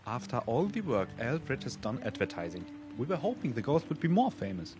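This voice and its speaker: A man voicing a brisk, excitable, theatrical innkeeper in a video game. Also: mid-range voice, over music, lightly acted. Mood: excited. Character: excitable, brusque male haunted-inn keeper. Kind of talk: video game character dialogue.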